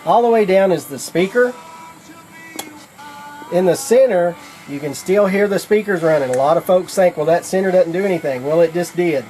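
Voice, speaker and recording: A man's voice: high-pitched, moderate pace, warm tone, faint music behind, low-quality recording. A man giving a casual how-to demonstration in a folksy, plain-spoken way, like a product demonstrator explaining things step by step.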